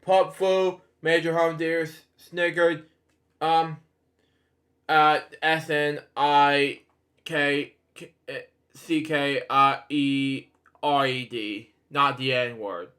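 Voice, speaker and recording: A man with a fairly high voice reads a children's story aloud at a slow pace. He stumbles and halts over phrases, drifting into casual, rambling asides as he hosts. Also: little pitch movement, fairly bright tone. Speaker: host reading bedtime stories.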